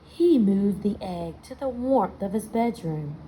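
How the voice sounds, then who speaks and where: Unhurried woman reading a children's storybook aloud. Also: medium pitch, slight background noise, poor audio. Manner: expressive storytelling, pausing to ask listeners questions. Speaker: storybook reader. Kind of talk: children's picture book read-aloud with asides to young listeners.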